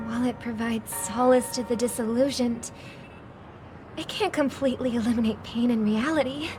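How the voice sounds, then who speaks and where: An actress playing a gentle, compassionate, idealistic young woman in a video game drama, speaking politely and earnestly. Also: on the high side, steady tone, moderate pace, lightly acted. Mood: compassionate sorrow. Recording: loud music under the voice.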